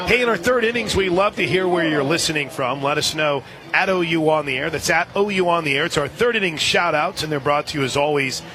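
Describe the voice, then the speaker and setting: A man doing live radio play-by-play commentary of a softball game. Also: a little high. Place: outdoor softball stadium broadcast position. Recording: outdoor ballpark, clear crowd noise behind.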